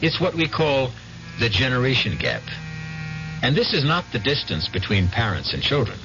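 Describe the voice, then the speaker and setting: An actor as a solemn, philosophical storyteller hosting eerie radio tales, measured, theatrical and wry, in 1970s narration style. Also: male voice, mid-range voice, big pitch swings, over music. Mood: wry, reflective. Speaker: host and narrator of a mystery series. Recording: muffled old radio broadcast.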